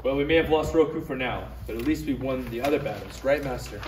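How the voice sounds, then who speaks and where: A man's voice: medium pitch, fast, close to an everyday voice. A man playing an earnest, polite space-knight master in a comedy table read. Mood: grateful. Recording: echoey room, distant mic, clear noise.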